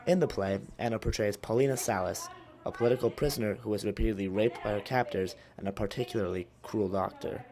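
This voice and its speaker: A man reporting for a student news show in scripted voice-over, brisk read narration. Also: fairly low pitch.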